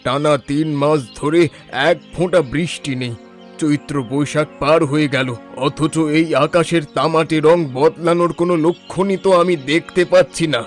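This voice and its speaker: Despairing village farmer, higher male voice man acting. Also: steady tone, normal speed, close to an everyday voice. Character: despairing middle-aged village farmer and father. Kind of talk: animated moral story voice acting. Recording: faint music behind the voice.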